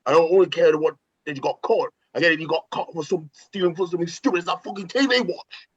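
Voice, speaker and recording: A man's voice: on the high side, fast, husky, muffled recording. A man acting a bitter, foul-mouthed jailed hitman and reluctant father in an amateur script read, combative and blunt. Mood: angry.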